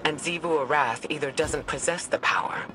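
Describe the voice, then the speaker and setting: A man playing a serious, learned strategist in a video game, speaking in a measured, analytical way. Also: on the high side, noisy background, natural voice. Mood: grave, analytical. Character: grave, learned male strategist advising a soldier. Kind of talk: scripted video game dialogue.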